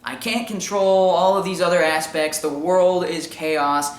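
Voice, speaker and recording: A man with a high-pitched voice giving informal opinion commentary in an explanatory, argumentative way with emphatic repetition. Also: fairly even intonation, measured pace. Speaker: commentary show host. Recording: echoey room sound.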